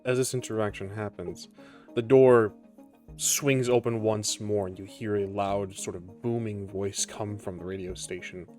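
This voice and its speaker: A man runs a tabletop game as its narrator. His voice is low and measured, broken by pauses. Faint music plays behind him. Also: steady tone, a little mellow. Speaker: game master.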